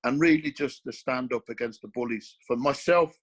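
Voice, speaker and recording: A man's voice: mid-range voice, moderate pace. An Irish former boxer answering interview questions in an earnest, emphatic way, often repeating his key phrases for weight. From Ireland. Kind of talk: casual interview.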